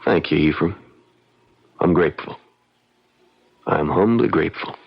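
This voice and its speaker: An actor playing a humble, earnest young country lawyer with a gravelly voice in an old-time radio drama. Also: male voice, low voice, a little slow, loud music behind the voice. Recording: dull radio recording.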